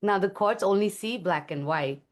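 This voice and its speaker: A woman's voice: medium pitch, normal speed. A woman from Thailand, an interview guest and musician, speaking earnestly and reflectively about her life. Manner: earnest, reflective, with soft laughs. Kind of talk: conversational interview.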